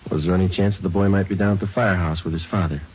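An actor playing a terse, businesslike police sergeant in an old radio crime drama, clipped and deadpan, on a dull vintage recording. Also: male voice, low voice, rapid-fire, slight background noise, natural voice. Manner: clipped, deadpan, matter-of-fact. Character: terse, businesslike male police sergeant narrating his case. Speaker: police detective.

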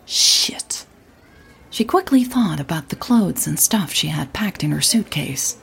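Low, creaky-voiced woman narrating a story. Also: lively intonation, moderate pace. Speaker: audio drama narrator.

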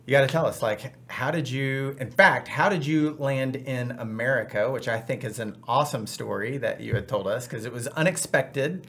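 A man's voice: medium pitch, normal speed, hardly any pauses, a bit bright. A man hosting a podcast, upbeat and chatty with rambling asides. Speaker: podcast host. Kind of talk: casual podcast chat.

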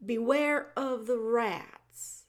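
A woman voicing a simple, rough-spoken young serving woman with slow, blunt rustic speech. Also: a little high, pitched above the performer's own voice. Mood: plain.

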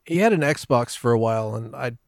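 Male podcast guest, medium pitch, dry recording, relaxed informal gaming talk. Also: fairly quick, vocal fry, no room sound. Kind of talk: casual gaming podcast chat.

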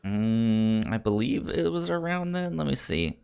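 A man's voice: mid-range voice, lively intonation, unhurried, creaky, a little mellow, smooth. A man hosting a podcast in casual chat about movies, often stammering and repeating words as he gets into a sentence. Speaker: podcast host.

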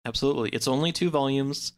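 Guy guest on a podcast talking comics, medium pitch, wordy and casual, says like a lot. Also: fast talker. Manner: informal, wordy, full of "like". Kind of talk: casual chat about comics.

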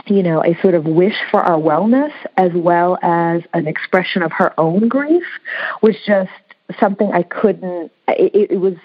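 A woman author talking about her book in a reflective conversation, often repeating words as she searches for them. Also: fairly low pitch. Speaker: author being interviewed. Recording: dull lo-fi audio.

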